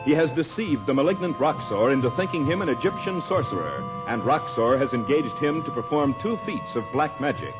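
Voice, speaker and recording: A man announces in classic American radio style, reading scripted copy. His mid-pitched voice is very animated, on a muffled old recording with music. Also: a little fast, hardly any pauses. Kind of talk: 1930s-40s radio ad and serial narration. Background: loud-ish music.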